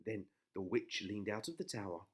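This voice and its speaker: A man's voice: fairly low pitch, moderate pace, slightly rough. A man narrating a children's bedtime story as a dramatic storyteller.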